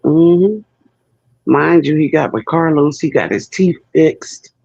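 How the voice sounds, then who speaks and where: A woman's voice: sits low, slow, gravelly, warm tone, breathy edge, no room sound. A woman co-commentating in a casual livestream chat, often chiming in to agree, on lo-fi audio. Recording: dull, dry lo-fi audio.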